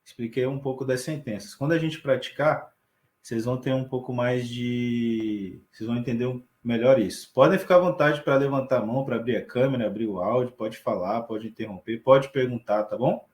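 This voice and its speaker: A man, teaching a live online class from an echoey room on his computer mic. He explains patiently and keeps asking his students whether they follow. His voice sits at an ordinary middle pitch. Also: fairly quick. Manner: relaxed explaining with frequent check-ins to students. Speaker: sign language teacher.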